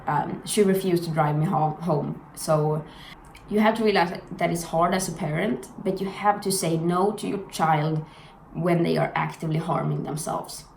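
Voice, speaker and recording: A woman's voice: low-pitched, moderate pace, creaky, a little mellow. A young woman from Sweden with a Swedish accent, giving candid, blunt personal commentary in a vlog. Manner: frank, blunt, earnest. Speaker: vlogger. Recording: echoey room with faint noise.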